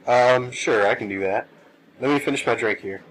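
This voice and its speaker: A man's voice: medium pitch, normal speed, slight background noise, lightly acted, low-quality recording. Man playing casual younger starship captain. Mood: casual, hesitant. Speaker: voice actor. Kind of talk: audio drama dialogue.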